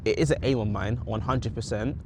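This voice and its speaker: A man's voice: lowish voice, brisk, noisy background. A young man with a British accent reflecting on his goals in a casual, rambling vlog, often correcting himself mid-sentence. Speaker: vlogger. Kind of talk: casual talking-head vlog.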